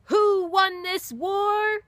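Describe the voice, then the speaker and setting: A woman's voice: very high voice, fairly even intonation, extremely slow, a bit bright, no room sound, pitched above the performer's own voice, heavily acted. A woman voicing a big, rowdy male sailor who bellows questions to a cheering crowd in a read-aloud story. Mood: roaring. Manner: bellowing, rousing.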